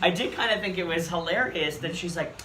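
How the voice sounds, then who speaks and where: Male co-host, slightly high voice, sarcastic and fed up, echoey noisy room. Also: brisk. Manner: exasperated, sarcastic commentary. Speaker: podcast co-host. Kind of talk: casual chat.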